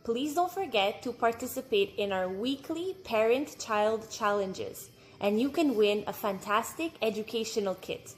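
High, lively woman reading a children's story. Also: soft background music. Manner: expressive, deliberate phrasing for young listeners. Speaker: story time reader for children. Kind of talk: read-aloud children's storybook narration.

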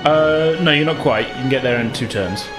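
A man's voice: mid-range voice, brisk. A man narrating a tabletop role-playing game as game master. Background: background music.

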